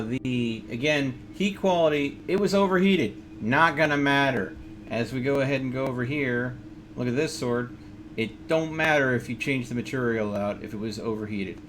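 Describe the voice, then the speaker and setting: A man giving casual live gameplay commentary, talking to himself in short muttered remarks as he plays. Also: mid-range voice. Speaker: streamer. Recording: clear background noise.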